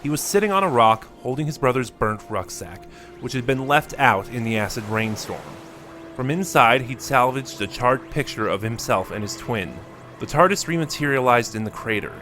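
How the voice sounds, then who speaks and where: A man narrating a scripted story in a measured, steady reading. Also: mid-range voice, faint music behind.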